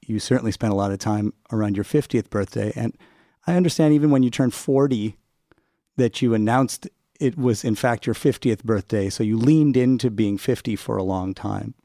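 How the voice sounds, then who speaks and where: A man's voice: fairly low pitch, normal speed, mellow, dry recording, studio quality. A man interviewing a guest on radio, asking thoughtful, probing questions.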